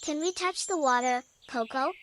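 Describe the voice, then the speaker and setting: High childlike little forest animal, acted out. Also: normal speed, a bit bright, slight background noise. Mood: gentle, curious. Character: gentle young forest animal friends, slow snail and companion. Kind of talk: bedtime story character dialogue. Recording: nature ambience with birdsong behind. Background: birdsong and forest ambience.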